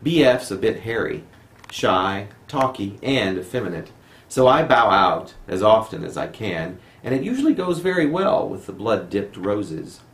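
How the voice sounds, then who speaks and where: A man's voice: lowish voice, expressive, measured pace, gravelly. A man reading poetry aloud as a poet at a live home reading. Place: room in a home. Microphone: camera microphone, no dedicated mic. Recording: roomy echo, faint noise, amateur video.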